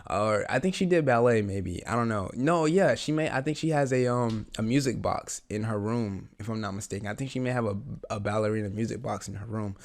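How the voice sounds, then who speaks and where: A man with a raspy voice, just getting over the flu, talking through a TV episode in a rambling, eager way with lots of fillers. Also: on the low side. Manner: rambling, many fillers and restarts, eager fan. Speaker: reaction channel host. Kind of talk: casual reaction commentary.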